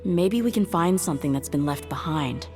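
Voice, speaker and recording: A woman voices a tough mercenary in a video game, stern and commanding. Her voice sits a bit low. Quiet music plays behind. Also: expressive, moderate pace, natural voice. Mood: somber.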